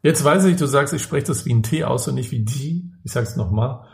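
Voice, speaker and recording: A man's voice: mid-range voice, expressive, brisk, vocal fry, a little mellow. A man co-hosting a casual podcast, with a dry, teasing manner. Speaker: podcast co-host.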